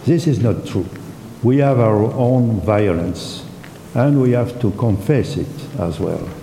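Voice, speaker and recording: A man with a very dark, low-set voice speaks slowly and haltingly, restarting his sentences and filling gaps with "uh." He comments as a panelist. His voice echoes around a large hall, with a faint hiss underneath. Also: creaky. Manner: halting, heavy with fillers and restarts. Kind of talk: hesitant spontaneous remarks. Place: conference hall.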